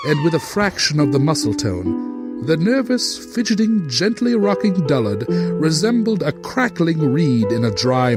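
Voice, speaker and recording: An actor playing a pompous narrator of a comedic radio play, given to florid storytelling and fussy asides. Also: male voice, medium pitch. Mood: florid, solemn. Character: pompous, easily sidetracked radio-play narrator, smugly tactless.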